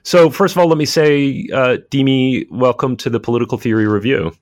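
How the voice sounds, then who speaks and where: A man's voice: mid-range voice, a little fast, soft background music. A man hosting an academic interview podcast, speaking with frequent hesitations and repeated words. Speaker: podcast host.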